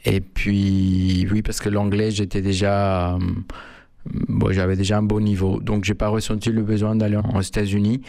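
Man, flat gravelly voice, halting answers, dry sound. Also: lowish voice, no echo. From Mexico. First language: Spanish. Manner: halting, many fillers and restarts. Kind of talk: hesitant interview answers.

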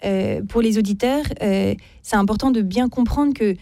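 Woman, radio debate, measured explanations with hesitations, warm tone, dry close sound. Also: mid-range voice, creaky, no echo. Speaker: debate guest, philosophy teacher.

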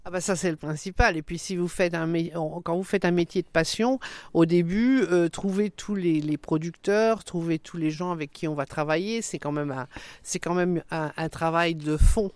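A man's voice: a little high, steady tone, moderate pace, a little mellow, dry recording. A man hosting a casual radio interview, speaking as the interviewer.

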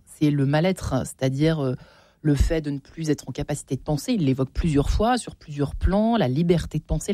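A man's voice: on the high side, dark tone, no room sound. Conversational male radio host. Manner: probing, conversational, searches for words. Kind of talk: hosted studio discussion.

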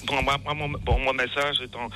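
A man calling in to a radio show, rambling and halting as he talks. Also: mid-range voice, flat delivery, bright, forceful tone, a little hiss or hum. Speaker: caller. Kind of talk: phone-in call.

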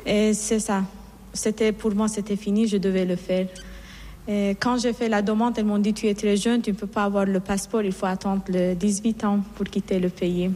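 A woman's voice: medium pitch, warm tone. A middle-aged woman from Iran, a nun, telling her life story in an interview in an earnest, reflective way, often restarting her sentences. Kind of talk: personal testimony in an interview. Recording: long hall reverb.

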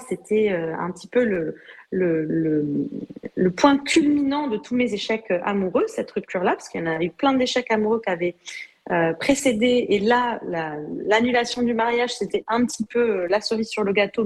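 Woman, from Lebanon, middle-aged, interview guest, hesitant, many fillers, average pitch. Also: a little mellow. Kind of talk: interview, personal story.

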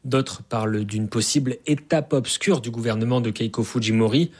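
Husky man reading a news report. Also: mid-range voice, steady tone. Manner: measured, formal report. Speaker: radio correspondent.

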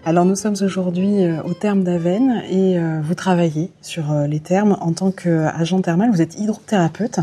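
A woman hosting a regional radio interview. Her voice is lowish, soft and husky, and she asks her questions while groping for words, with frequent fillers. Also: steady tone, moderate pace, few pauses, faint music behind. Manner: searching for words, many fillers and restarts.

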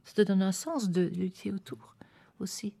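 A woman's voice: medium pitch, moderate pace, vocal fry, dark tone, breathy edge. A woman from a theatre company answering interview questions hesitantly, searching for words.

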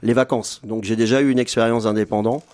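A man's voice: medium pitch, fairly even intonation, fast, darkish tone. A man in his fifties, a restaurant owner and chef, talking in a radio interview with a hesitant manner full of fillers and restarts. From France. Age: 50s.

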